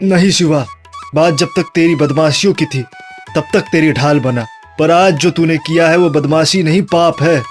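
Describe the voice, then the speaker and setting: Guy doing an earnest good-brother type from a cartoon, high voice, faint music behind. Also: moderate pace, natural voice. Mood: firm, reproachful. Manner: earnest, firm. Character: righteous, protective good brother, firm but caring young villager. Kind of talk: Hindi cartoon moral story dub.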